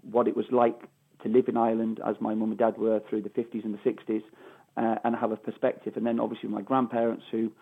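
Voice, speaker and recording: A man's voice: fairly low pitch, little pitch movement, fast, vocal fry, slightly rough. A middle-aged man with a Mancunian accent, a former footballer answering interview questions in an emphatic way that repeats phrases for stress, heard down a phone line. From England, Manchester. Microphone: phone call.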